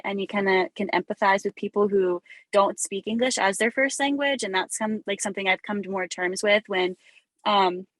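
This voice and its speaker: A woman's voice: medium pitch, a bit bright, no echo, rough recording. Chatty young woman on a call. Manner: chatty, lots of "like" and "um". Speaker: student panelist. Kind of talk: casual panel answer. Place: video call.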